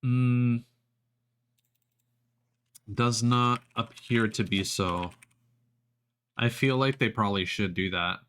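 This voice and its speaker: A man giving casual, explanatory livestream commentary on a video game, thinking aloud with hesitant pauses. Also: mid-range voice, fairly bright tone, clear, resonant tone. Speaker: video game live streamer. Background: music behind the voice.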